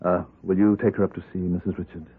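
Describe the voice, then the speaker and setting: A man's voice: deep voice, little pitch movement, quick pace, breathy edge. An actor playing a commanding, wealthy man devoted to his dying wife, speaking with earnest intensity in an old radio drama. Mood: formal, controlled. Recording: muffled, band-limited vintage radio recording.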